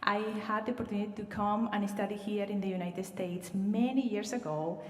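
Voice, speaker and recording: A woman's voice: mid-range voice, steady tone, fairly quick. Venezuelan woman presenting in a reverberant hall. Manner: warm welcoming, slight non-native phrasing with fillers. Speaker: admissions director. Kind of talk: livestreamed presentation to students. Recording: roomy reverb, voice far from mic.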